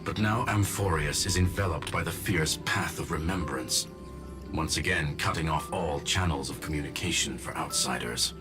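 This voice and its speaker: A man voicing a courteous robot gentleman scholar in a video game, speaking formally and precisely. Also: rumbling low, normal speed. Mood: calm, precise. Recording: loud music under the voice.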